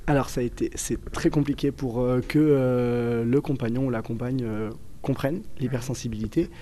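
A man's voice: medium pitch, steady tone, moderate pace, dark tone. Hesitant young man with a southern French accent. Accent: southern French, Toulouse area. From southern France. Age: 20s. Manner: hesitant, many fillers and restarts. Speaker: guest. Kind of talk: casual interview conversation.

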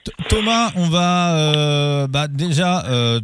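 A man's voice: a little high, animated, slow, fairly bright tone, a little hiss or hum. A man co-hosting, giving a friendly, upbeat send-off to a guest. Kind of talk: casual sign-off to a guest.

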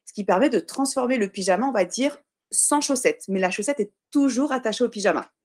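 A woman's voice: on the high side, lively intonation, a little mellow. A woman of Portuguese heritage, a guest on a podcast, explaining her product step by step and correcting herself as she goes. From Portuguese family. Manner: earnest, explanatory, self-correcting with frequent pauses. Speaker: guest, baby clothing brand founder. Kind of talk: podcast interview.

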